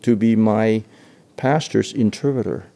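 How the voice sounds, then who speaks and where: An older man from Hong Kong tells his story in an oral history interview. His low voice is warm and gravelly. He stops and repeats words often, and he laughs easily between phrases. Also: a little slow.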